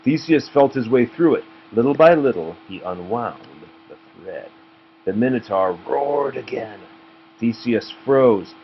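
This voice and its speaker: A man's voice: medium pitch, animated, a little slow. A man reading a children's storybook aloud as a parent to a child, in a narrating style. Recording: muffled home recording with clear noise.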